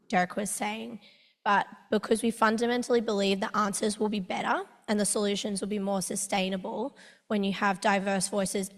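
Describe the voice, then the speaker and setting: A woman physician and researcher speaking on a conference panel, hoarse from losing her voice, earnest and energetic with self-deprecating asides. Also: mid-range voice. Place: large conference hall. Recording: long hall reverb.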